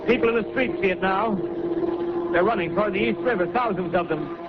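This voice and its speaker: An actor playing a grim radio announcer in a 1930s radio drama, delivering halting bulletins. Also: male voice, high voice, natural voice. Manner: grave, halting bulletin reports. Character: grim male radio announcer reporting the end. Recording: lo-fi old radio broadcast with loud noise.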